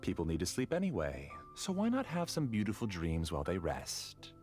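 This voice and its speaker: Calm obsessed scholar villain. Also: male voice, low voice, moderate pace. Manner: calm, self-justifying. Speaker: game character. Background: game music.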